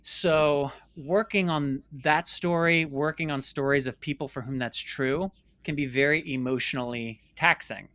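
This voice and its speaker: Earnest american guy in an interview, kinda high voice, dry close sound, leans on words. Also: sharp tone, clean tone, no echo. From United States. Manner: earnest, emphatic, with repeated words and pauses for stress. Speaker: interview guest, documentary filmmaker. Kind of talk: reflective personal storytelling in conversation.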